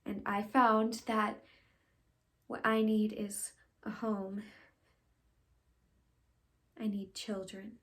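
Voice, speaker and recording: A woman acting an earnest, conflicted young woman in a halting, pleading stage monologue of confession and farewell. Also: medium pitch, flat delivery. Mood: wistful, confiding. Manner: halting, pleading, with long pauses and hushed phrases.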